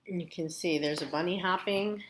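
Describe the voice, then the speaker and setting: A woman's voice: lowish voice, normal speed, noisy background. A woman teacher casually leading a children's read-aloud lesson, explaining and checking in with questions. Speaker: elementary school teacher.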